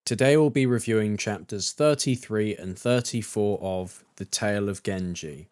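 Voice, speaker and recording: A man's voice: on the low side, normal speed, darkish tone, smooth, natural voice. A man voicing a formal schoolteacher addressing his class in an amateur game fandub. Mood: businesslike. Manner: formal, instructing.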